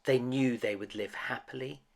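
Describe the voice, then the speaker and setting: A man's voice: mid-range voice, fairly even intonation, normal speed. A man reading a children's picture book aloud as a dramatic storyteller. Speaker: storybook reader.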